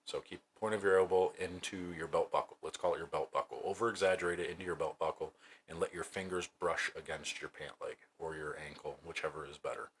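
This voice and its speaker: A man hosting a casual livestream, giving bowling tips and thinking aloud in a relaxed way. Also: deep voice, quick pace, a little hiss or hum. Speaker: streamer and bowling coach. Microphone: new desk microphone.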